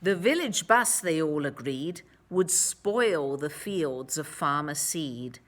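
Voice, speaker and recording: A woman reading a picture book aloud to children, expressively, with dramatic emphasis and drawn-out words. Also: low voice, expressive.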